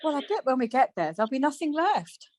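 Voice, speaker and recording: A woman playing a brisk, plain-spoken, chatty wife in a radio play, chiding and questioning. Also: high voice, very animated, darkish tone.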